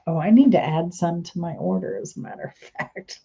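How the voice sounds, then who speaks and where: A woman's voice: lowish voice, very animated, brisk, highs cut off, very noisy. A woman host chatting casually and rambling, trailing off mid-sentence, sounding stuffy and congested. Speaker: channel host. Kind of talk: casual rambling chat to camera.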